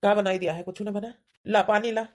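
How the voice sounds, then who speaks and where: A woman's voice: medium pitch, steady tone, fairly quick, darkish tone, close to an everyday voice. A woman voicing a sick, grumbling, bossy village husband in an Awadhi-accented comedy cartoon. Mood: weary, bossy. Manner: complaining, bossy.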